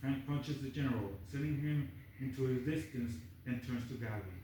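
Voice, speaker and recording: A man's voice: mid-range voice, moderate pace, recorded from a distance. A man reading screenplay stage directions aloud as the narrator at a table read. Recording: echoey room, off-mic, faint noise.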